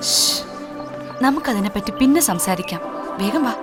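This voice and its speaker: A woman with a Malayali accent playing a caring, concerned elder sister in a cartoon, gentle and questioning. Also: medium pitch, lively intonation, moderate pace, over music, natural voice.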